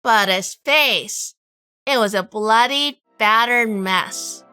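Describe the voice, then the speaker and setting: A woman's voice: a little high, slow pace, bright, forceful tone, clean tone, soft background music. A woman playing a shrewd, independent gun-toting gangster's wife in a noir radio drama. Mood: dramatic narration.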